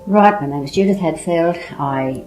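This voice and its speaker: An older Australian woman from Sydney with a very low, mellow voice speaks in an articulate, measured way about the town's busy years. Soft music runs faintly underneath. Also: low-quality recording. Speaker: interviewee, local resident. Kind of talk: casual reminiscing.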